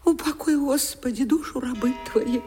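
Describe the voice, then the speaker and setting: A woman's voice: high-pitched, moderate pace, warm tone. An actress playing a pious, deferential common woman in a period radio drama, sighing prayers in a folksy way. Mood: mournful, pious. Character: pious, plain-spoken peasant-like common woman, lamenting. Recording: radio drama with faint music behind.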